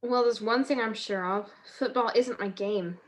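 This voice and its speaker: A woman playing a quick-witted, wry amateur sleuth in a radio-style mystery, with a teasing manner. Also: fairly high pitch, close to an everyday voice, rough recording. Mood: wry, probing. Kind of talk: 1940s radio mystery acting.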